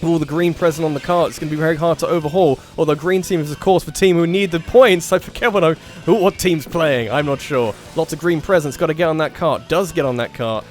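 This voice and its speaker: A man's voice: on the high side, quick pace, hardly any pauses, a little mellow, faint music behind. A man casually commentating an online match he organised, slightly nasal, rambling and correcting himself as he calls the play. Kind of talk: casual live game commentary.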